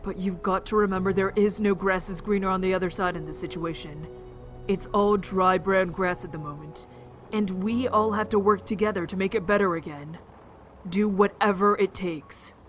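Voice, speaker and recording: A woman's voice: mid-range voice, steady tone, normal speed, soft background music, natural voice. A woman playing an encouraging young survivor in an amateur audio drama, reasoning in a reassuring, patient way. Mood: earnest.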